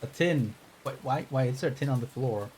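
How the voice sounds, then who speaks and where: A man's voice: mid-range voice, fairly quick, a little mellow, slight background noise. A man streaming a game playthrough, rambling with wry asides and reading on-screen text aloud. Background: game music.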